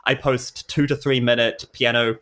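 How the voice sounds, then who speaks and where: Bright-voiced, jokey New man. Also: mid-range voice, level tone, normal speed. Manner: self-deprecating, jokey. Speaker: podcast player and guest speaker. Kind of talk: casual chat.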